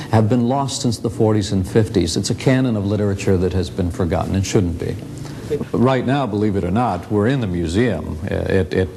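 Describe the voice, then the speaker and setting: American guy explaining stuff in an interview, kinda low voice, stops and restarts, repeats for emphasis, faint hum of noise. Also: a little mellow. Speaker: radio museum representative. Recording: faint rumbling background noise.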